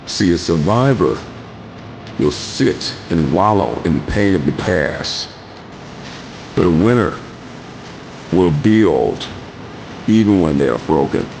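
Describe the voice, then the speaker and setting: Very low-voiced male motivational speaker. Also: expressive, darkish tone, slight background noise, poor audio. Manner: emphatic, deliberate phrases with rhetorical repetition. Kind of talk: motivational speech.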